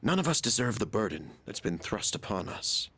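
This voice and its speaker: A man's voice: on the low side, big pitch swings, normal speed, fairly bright tone. A man playing a genial, persuasive middle-aged school chairman in a video game, explaining things eagerly. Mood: reassuring, earnest.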